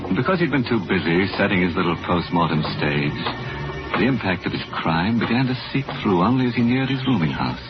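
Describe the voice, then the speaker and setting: An actor playing a knowing, sardonic narrator in a 1940s radio horror drama, addressing the listener intimately. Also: male voice, deep voice, sing-song, brisk. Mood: sardonic, ominous. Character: sardonic knowing male narrator of a murder tale. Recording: dull old radio recording, loud music.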